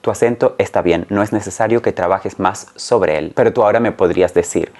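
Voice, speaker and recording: A man in his forties, a native Spanish speaker, explaining carefully and clearly as a language teacher talking to camera. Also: lowish voice, fairly quick, darkish tone. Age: 40s. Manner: clear, careful, didactic phrasing. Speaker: Spanish teacher and channel host. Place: small room at home, indoors.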